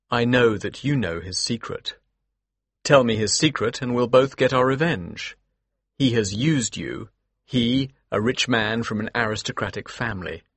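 An earnest, determined gentleman voiced by a male actor narrates a Victorian mystery. His voice is fairly low and husky, with scripted audiobook delivery. The recording is close and dry. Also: dry recording.

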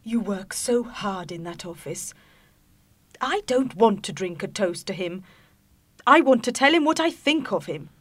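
Man playing an indignant mother, raised voice, slow, resentful. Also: high-pitched, animated, fairly bright tone, pitched above the performer's own voice, exaggerated voice. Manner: frowning, resentful. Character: indignant mother defending her husband.

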